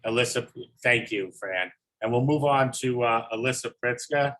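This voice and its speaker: A man hosting an online artist event on a video call, reading out chat comments in a steady, level way. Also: mid-range voice, normal speed. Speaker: host.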